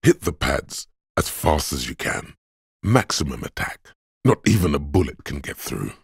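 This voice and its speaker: Very deep, husky inner body voice. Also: male voice, big pitch swings, moderate pace, no echo, clean studio sound, full character voice. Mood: grave, terse. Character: Endurance, brooding inner voice of a weary detective's body. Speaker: voice actor. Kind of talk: scripted game narration in second person.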